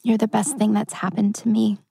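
A woman plays a torn, earnest teenage girl in a drama table read. The voice is mellow and creaky, of middling pitch, and recorded dry. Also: little pitch movement, brisk, no echo. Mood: sad, earnest. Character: torn, tender teenage girl saying goodbye.

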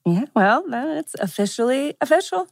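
A woman's voice: sits high, big pitch swings, moderate pace, vocal fry, dry recording, exaggerated voice. A woman playing a dry, unimpressed young female boss in a table read of a TV drama. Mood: resigned.

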